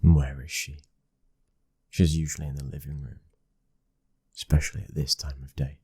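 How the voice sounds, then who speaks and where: A British man acting a commanding mafia boss's son, a stern but loving husband, in an intimate ASMR roleplay with a husky voice. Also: a bass voice, level tone, moderate pace, dark tone, heavily acted. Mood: tender, teasing.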